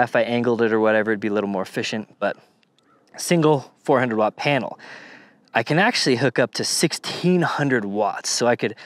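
A man with an American accent giving a casual, informal gear walkthrough as a vlogger. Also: medium pitch. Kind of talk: casual product walkthrough vlog. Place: inside and on top of a metal shipping container.

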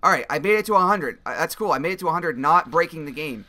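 A young man with a slightly raspy voice giving casual, excitable commentary while playing a game, speaking into a headset mic. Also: a little high, fast. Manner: excitable, swears and yells when he fails. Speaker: gaming video creator. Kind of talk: casual let's-play commentary.

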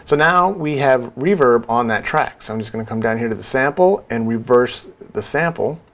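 A man's voice: mid-range voice, animated, moderate pace, fairly bright tone. Casual man, noisy recording. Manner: casual, talking through each step. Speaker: sound design tutorial presenter. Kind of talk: informal software tutorial narration.

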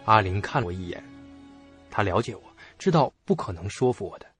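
An actor narrating a suspense audio drama in the first person as a curious, stubborn young student, with expressive, suspenseful delivery. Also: male voice, on the low side, a little fast. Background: music behind the voice.

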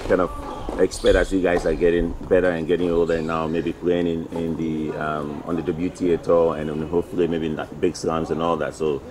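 Deep-voiced man hosting an outdoor interview. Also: noisy background. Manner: halting, many fillers. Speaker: interview host, tennis federation president. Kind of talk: casual on-court interview. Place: outdoor tennis court by a stadium. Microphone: table mic.

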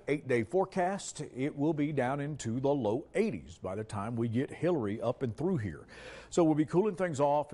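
A man's voice: mid-range voice, a little mellow. An American man in his forties presenting an ad-libbed TV weather forecast in a conversational way, with folksy asides. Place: TV studio.

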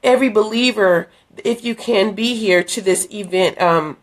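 A middle-aged woman, hoarse from a cold, chatting earnestly into a phone at arm's length in a dim room, inviting viewers to an event. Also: mid-range voice, moderate pace. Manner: chatty, earnest, with many fillers and restarts. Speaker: ministry leader promoting an event. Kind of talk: casual live-stream invitation. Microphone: phone held at arm's length.

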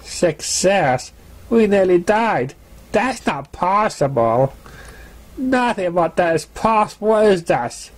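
Man acting an exasperated mare, raised pitch, frantic, scolding, storybook pony character. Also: big pitch swings, slow, full character voice. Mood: panicked.

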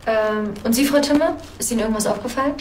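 An actress playing a brisk policewoman, polite and questioning. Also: a little high, echoey room, a little hiss or hum, natural voice. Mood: inquiring.

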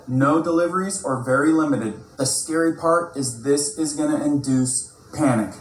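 A man's voice: a little high, roomy sound, a little hiss or hum, poor audio. A man in his thirties recording an urgent vlog from inside a parked vehicle, talking close to his phone with emphatic, repeated warnings. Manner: alarmed, emphatic, repetitive warnings. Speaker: prepping vlogger. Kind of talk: urgent news-style vlog.

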